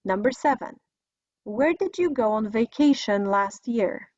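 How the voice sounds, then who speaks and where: A woman's voice: fairly high pitch, expressive, measured pace, a little mellow, dry recording. A middle-aged woman with a Polish accent giving an English language lesson, enunciating carefully in an instructional way. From Poland. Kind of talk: scripted video lesson with listen-and-repeat drills. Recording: dry, slightly dull lo-fi recording.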